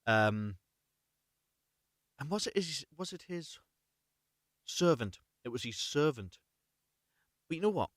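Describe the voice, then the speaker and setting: A man's voice: a little high, sing-song, slow, pauses often, no room sound, studio quality. A man hosting a live story reading, chatting casually and reading unrehearsed in a rambling, self-correcting way. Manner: rambling, self-correcting, apologetic about slips.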